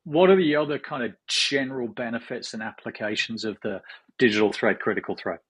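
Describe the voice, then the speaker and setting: Raspy-ish guy hosting a podcast, playful and upbeat, asking questions. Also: mid-range voice, a little fast, fairly bright tone. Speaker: podcast co-host. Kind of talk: casual interview hosting.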